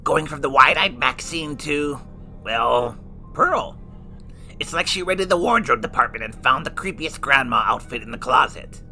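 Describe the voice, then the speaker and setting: A man voicing a gravelly burnt-faced horror host. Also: mid-range voice, expressive, moderate pace, bright, forceful tone, slight background noise. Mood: snarky. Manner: jokey, theatrical, sarcastic. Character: male horror host with shriveled burnt face, snarky. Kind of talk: scripted comedic review banter. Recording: music bed underneath.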